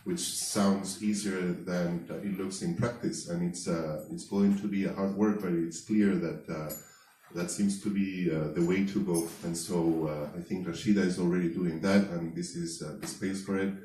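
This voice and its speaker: A man with a low, mellow voice opens an evening event, thanking hosts and partners. He hesitates often, pausing on fillers. He is recorded from a distance in a roomy hall with a faint hum behind. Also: steady tone. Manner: hesitant, many fillers and restarts. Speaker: event organizer, host. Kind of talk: introductory remarks at an event. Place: event space before an audience. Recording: echoey hall, distant mic, faint noise.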